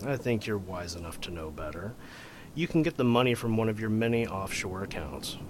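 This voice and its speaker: A man's voice: lowish voice, lively intonation, fairly quick, slight background noise, lightly acted. A man playing a scheming blackmailer in an amateur radio drama, speaking with menace and sneers. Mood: gloating. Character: scheming middle-aged male blackmailer.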